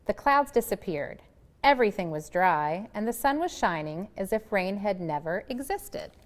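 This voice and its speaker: A woman reads a children's picture book aloud in a lively, swooping voice. She stresses key words and pauses for drama, and the room around her rings with long reverb. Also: medium pitch. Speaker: librarian reading aloud.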